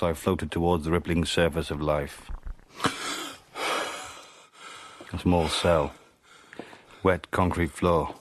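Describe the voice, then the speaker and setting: An actor playing a weary, wry captive spy, narrating in an understated, deadpan way for a radio drama. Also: male voice, deep voice, a little hiss or hum. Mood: bleak, detached. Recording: radio drama with faint music.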